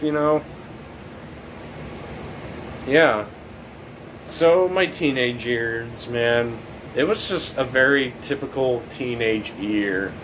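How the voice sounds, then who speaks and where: A young man in his late teens talking casually about his life, rambling and halting, with many fillers and restarts. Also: mid-range voice, a bit bright, a little hiss or hum, low-quality recording. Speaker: documentary subject. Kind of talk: casual reflective monologue.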